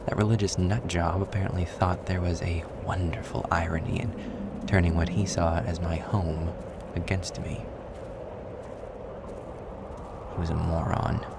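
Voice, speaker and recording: A man playing a snarky, weary, well-spoken demon guide in an intimate audio roleplay, with a husky, gravelly voice and a dry, wordy manner. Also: sits low, very noisy. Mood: bitter, brooding. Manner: sarcastic, wordy, dry, deliberate. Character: snarky, weary, erudite male demon guide trapped in hell.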